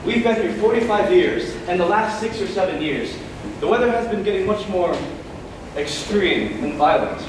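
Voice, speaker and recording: A man's voice: high voice, expressive, normal speed. A male student actor playing a mild, fretful middle-aged man in a live stage comedy. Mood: earnest, worried. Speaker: student actor in a school one-act play. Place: large hall, recorded far from the stage. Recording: distant, echoey stage recording with loud noise.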